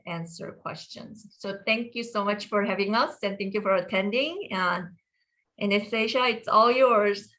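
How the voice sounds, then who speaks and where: A woman's voice: fairly low pitch, fairly bright tone. A woman introducing a webinar guest in a casual, hesitant way with frequent restarts. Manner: hesitant, many fillers and restarts.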